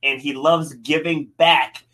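A male podcast host with a husky, breathy voice pitched a touch high. He asks his questions plainly and fills the gaps with short affirmations like "okay" and "nice.". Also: fairly even intonation, unhurried, bright, forceful tone. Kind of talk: interview hosting.

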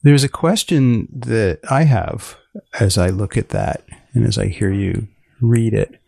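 A male podcast host with plenty of vocal fry, recorded very dry. He interviews in a deadpan, deliberate way. His questions and prompts are short, and he likes to repeat a phrase. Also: fairly low pitch, dry recording.

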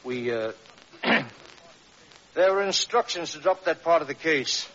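An actor playing a gruff, dismissive senior policeman in an old radio drama, blustering and hemming. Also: a little high, animated, measured pace, a bit bright. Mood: evasive, awkward. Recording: muffled vintage radio, faint hiss.

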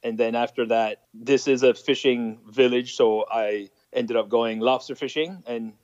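A man's voice: medium pitch, steady tone, unhurried, darkish tone, no room sound, highs cut off. A man from Nova Scotia with an Acadian French accent, French his first language, chatting as an interview guest and laughing at his own jokes. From Nova Scotia, Canada. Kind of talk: casual storytelling interview.

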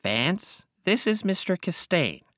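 Male reader as sinister small man, raised pitch, complacent, precise, commanding, audiobook villain. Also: very animated, slow pace, a bit bright, dry recording, studio quality, full character voice. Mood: commanding call. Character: sinister, complacent little man, coolly commanding, reading records aloud. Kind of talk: dramatic audiobook character voice.

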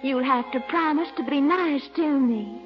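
An actress playing a coy, gushing, flirtatious sweetheart in melodramatic 1940s radio comedy style. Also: female voice, high voice, a little slow. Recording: dull old radio recording, music behind.

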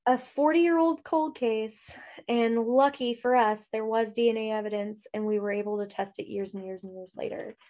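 A woman's voice: on the high side, fairly even intonation, normal speed, mellow, highs cut off. A woman co-hosting a true-crime podcast, chatting casually with a stuffy nose, rambling with lots of fillers and laughs. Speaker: podcast co-host.

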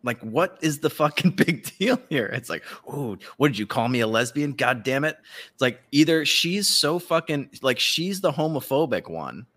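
A man with a medium-pitched voice talks as a podcast co-host. His style is loose, comedic banter. He is irreverent and swears freely, rambling through his points. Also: big pitch swings, moderate pace, a bit bright.

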